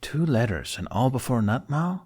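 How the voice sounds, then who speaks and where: A man's voice: medium pitch, big pitch swings, moderate pace, a little mellow, breathy edge, voice pushed up. Man voicing an irritable young Viking warrior woman. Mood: suspicious. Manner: curt, warning.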